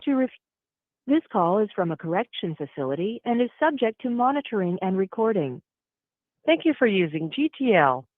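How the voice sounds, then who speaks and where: A woman's voice: mid-range voice, big pitch swings, moderate pace, no room sound. A woman's automated phone system voice reading a scripted announcement over a telephone line. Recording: tinny narrow-band telephone line.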